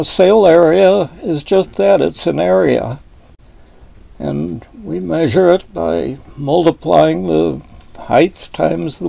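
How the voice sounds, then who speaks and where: An older man with a folksy, deliberate manner, explaining boat design in a wood-panelled cabin, filmed from a fixed camera across a table. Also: on the high side, creaky, mellow, dry recording. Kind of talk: explanatory video lesson.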